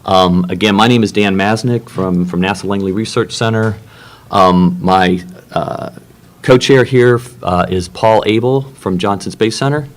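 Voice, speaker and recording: Low-voiced man opening a technical session. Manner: halting, many fillers and restarts. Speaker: workshop co-chair and moderator. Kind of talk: opening remarks at a technical meeting. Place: conference room.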